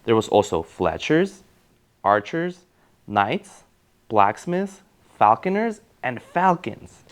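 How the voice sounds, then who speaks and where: A man playing an eager, encouraging schoolteacher who reads fairy tales aloud to children in an animated, coaxing way. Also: mid-range voice, slow. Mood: storytelling. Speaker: teacher and storyteller. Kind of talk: children's read-aloud storytelling.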